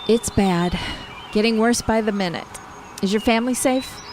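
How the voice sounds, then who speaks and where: An actress playing a steady, commanding woman who leads her neighbors in a radio drama. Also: fairly high pitch, moderate pace, natural voice. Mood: firm. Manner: firm, matter-of-fact. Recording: clear street ambience noise.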